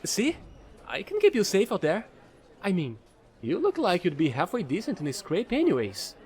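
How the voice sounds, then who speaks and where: Offbeat fallen angel space trader played by a man for audio roleplay: high, very lively pitch, eager, rambling, playful. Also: moderate pace. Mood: flustered, sincere. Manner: rambling, playful, pleading, casual slangy talk. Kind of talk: audio roleplay monologue addressed to the listener.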